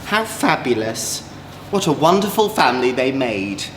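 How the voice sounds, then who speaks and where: A man with an English accent, a drag queen storyteller, reading a children's story in an expressive, gentle way. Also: mid-range voice, moderate pace. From Manchester, England. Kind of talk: children's storybook read-aloud. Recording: echoey room, clear background noise.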